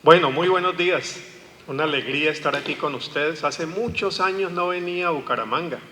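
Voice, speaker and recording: A Colombian man with a fairly high, bright voice delivers a lecture to an audience. He speaks in an engaging way and often turns questions back to the listeners. His voice rings with the long reverb of a large hall. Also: fairly quick, some chatter behind, a little hiss or hum. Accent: Colombian Spanish. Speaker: guest speaker, pastor and theologian. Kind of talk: public lecture.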